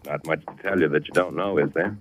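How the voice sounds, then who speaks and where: An actor playing a guarded, edgy husband in a 1940s radio drama, speaking in clipped, terse lines. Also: male voice, very deep voice, quick pace, slight background noise. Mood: guarded, dry. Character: guarded, edgy husband of a missing wife, narrating. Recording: dull old radio recording, music behind.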